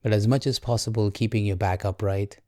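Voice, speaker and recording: Low male voice, mellow tone, unhurried guided meditation, gentle delivery, dry close sound. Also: dry recording. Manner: calm, gentle, measured with long pauses. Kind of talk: guided meditation, slow and soothing.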